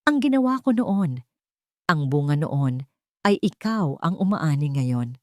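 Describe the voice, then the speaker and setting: A woman's voice: low voice, animated, darkish tone, dry recording, studio quality. Woman voicing a grave, remorseful older tycoon father. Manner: grave, slow, confessional. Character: powerful older billionaire father, remorseful yet fiercely protective.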